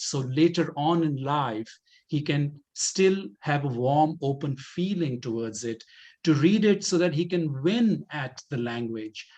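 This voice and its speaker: A man with a slightly high-pitched voice speaks as the presenter at an informal question-and-answer session. He phrases things deliberately and often repeats words to drive them home. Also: a little mellow, highs cut off. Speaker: presenter for a community language-learning project.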